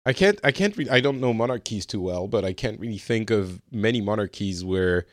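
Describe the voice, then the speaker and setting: Brief: host, man, lowish voice, dry studio sound, easygoing questioner. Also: animated, dry recording. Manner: casual, quick questions and interjections. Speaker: podcast host. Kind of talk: casual current-affairs chat.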